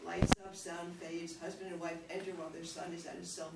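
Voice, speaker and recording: A narrator reads scripted play introductions and stage directions. The voice sits away from the mic in an echoey room, with clear noise behind it. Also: medium pitch, little pitch movement, brisk, far from the mic.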